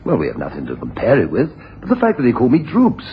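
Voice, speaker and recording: Kindly, excitable gentleman, hugely animated, dull hissy 1980s radio drama. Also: medium pitch, fast. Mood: protesting. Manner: protesting, excitable, earnest. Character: kindly, trusting, agitated younger brother. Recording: dull, noisy old radio broadcast.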